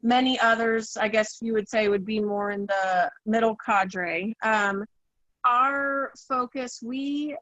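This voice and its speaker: High-voiced woman sharing on a group call. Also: fairly even intonation, slow pace, a bit bright, dry recording, highs cut off. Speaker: community group organizer. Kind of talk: informal personal account. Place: online call from a rural home.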